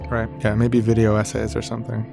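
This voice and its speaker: A man playing an anguished, defensive streamer in a therapy sketch, halting and rambling as he agonises. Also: lowish voice, monotone, gravelly, music in the background. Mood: resigned. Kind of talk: comedy sketch acting.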